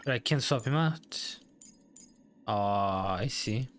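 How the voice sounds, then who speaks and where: A man's voice: on the low side, animated, slow, a bit bright, a little hiss or hum. A man doing casual live gameplay commentary, muttering his thoughts aloud to himself as he plays. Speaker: game streamer. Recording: voice over faint game music. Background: faint game music.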